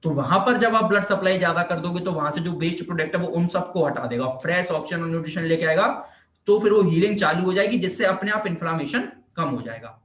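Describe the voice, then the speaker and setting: A man's voice: sits high, expressive, fast, a bit bright, a little hiss or hum. An Indian man giving an informal explanatory lecture as a teacher, repeating himself and answering his own questions. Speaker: physiotherapy teacher. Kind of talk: informal explanatory lecture in Hindi mixed with English terms. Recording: echoey room.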